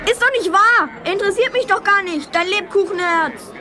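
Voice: very high voice, moderate pace, noisy background. An actor in a German youth audio drama playing a brainy teenage boy sidekick with a dry, observant manner. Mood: flustered.

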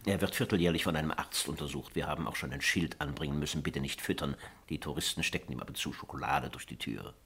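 A man's voice: a bass voice, quick pace, few pauses, a bit bright, slight background noise. A man in a radio drama playing an aging, obsequious justice minister, fussy, repetitive and servile. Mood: eager, servile.

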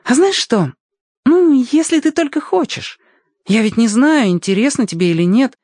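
Young boy, storybook: eager, trusting chatterbox, pitched way up and swinging excitedly, close dry sound. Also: normal speed, dry recording. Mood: shy, inviting. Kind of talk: acted audiobook dialogue.

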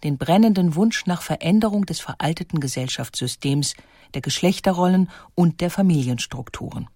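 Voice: mid-range voice, normal speed, darkish tone, breathy edge, dry recording, clean studio sound. A narrator reading a scripted documentary about history and art.

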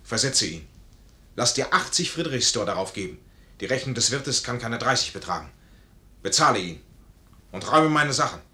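An actor playing a proud, impoverished army major in a 1950s German radio play, formal, courteous and commanding. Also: male voice, mid-range voice, sing-song, moderate pace, pauses often, pushed and bright. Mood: resolute. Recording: roomy 1950s radio studio recording.

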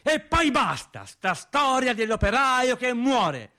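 An actor playing a bitter, sardonic factory worker in a theatrical radio monologue, speaking emphatically and colloquially. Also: male voice, very high-pitched, very animated, sharp tone, full character voice. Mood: angry. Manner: emphatic, colloquial, sarcastic, with deliberate repetitions. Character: bitter, sardonic Italian factory worker recounting workplace deaths. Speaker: stage actor.

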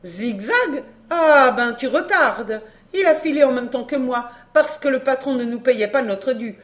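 A woman's voice: sits high, expressive, a little slow, a bit bright, voice pushed up. A brazen storybook showwoman, put on by a woman narrator, speaking in a raised voice. She is scornful and cheeky, with slangy, derisive delivery. Every phrase taunts the listener. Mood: mocking.

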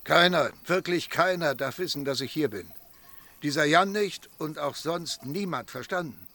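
An actor playing an assured, quietly authoritative mafia boss in hiding in a radio crime drama. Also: male voice, on the high side, moderate pace. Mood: stern, secretive. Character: commanding mafia boss in hiding, seeking shelter.

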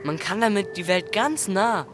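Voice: mid-range voice, animated, normal speed, background noise, natural voice. A performer playing a cocky, enigmatic thirteen-year-old newcomer who talks in blunt, provoking bursts for a German radio drama. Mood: cool, probing. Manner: blunt, provoking questions and retorts.

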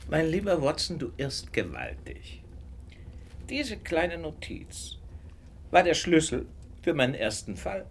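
An actor playing a shrewd, self-assured detective in a radio drama, speaking in a knowing, deliberate way. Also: male voice, on the high side, very animated, exaggerated voice. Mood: knowing, reminiscing. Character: famous male detective, shrewd, self-assured, gently superior. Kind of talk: German radio drama acting.

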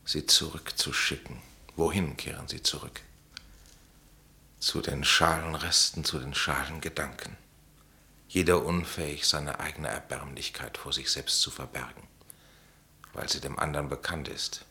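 Deep, creaky, solemn counselor. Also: male voice, steady tone, sharp tone, full character voice. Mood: troubled, brooding. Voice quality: low, creaky. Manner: measured, grave, oracular. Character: solemn, fatherly male spiritual guide and counselor, Henry. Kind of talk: German radio play acting.

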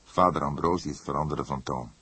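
An extremely deep-voiced man narrates a detective novel. His voice has a husky grain and sits in a dry, close acoustic. The reading is measured and literary. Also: sharp tone, no echo. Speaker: audiobook narrator. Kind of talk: scripted detective story narration.

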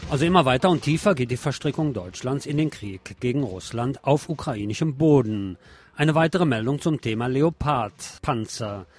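A man's voice: medium pitch, expressive, normal speed, soft background music. Man reading news with sardonic asides. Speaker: radio news host. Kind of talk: news reading with opinionated commentary.

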